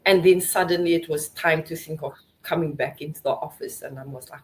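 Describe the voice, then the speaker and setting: A woman's voice: sits low, brisk. A woman from South Africa with a South African accent, hosting a casual podcast chat over a video call and asking friendly questions. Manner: friendly, chatty, asks follow-up questions. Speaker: podcast host.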